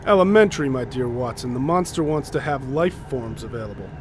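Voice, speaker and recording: An actor in a radio drama playing a practical, wry scientist who has taken command, reasoning things out decisively. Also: male voice, medium pitch, lively intonation, brisk, background noise, close to an everyday voice. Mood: wry explaining. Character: level-headed male scientist taking command, wry and practical.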